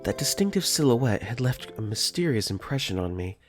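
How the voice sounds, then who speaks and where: A man's voice: mid-range voice, sing-song, normal speed, over music, close to an everyday voice. A man voicing an easygoing, wry teenage boy who narrates his own story in a conversational tone with joking asides, for a visual novel fan dub. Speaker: voice actor. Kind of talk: first-person visual novel narration and dialogue.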